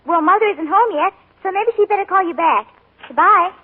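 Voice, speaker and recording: Prim, polite little girl,: very high and piping, tinny broadcast. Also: animated, a little fast, a little hiss or hum. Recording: tinny 1940s radio broadcast.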